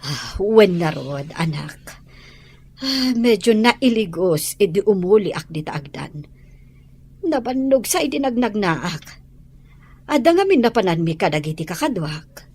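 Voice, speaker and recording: A woman's voice: mid-range voice, big pitch swings, unhurried, darkish tone, husky, full character voice. A woman playing a kindly, weary lady of the house in an Ilocano audio drama.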